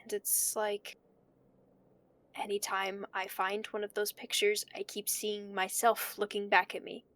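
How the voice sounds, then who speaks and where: An actress playing a troubled, brooding young woman confiding haltingly in an audio drama, with a creaky voice. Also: medium pitch, level tone, fairly bright tone, natural voice. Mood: uneasy, reflective. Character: troubled young woman haunted by dead relative. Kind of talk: audio drama monologue, intimate confessional recording.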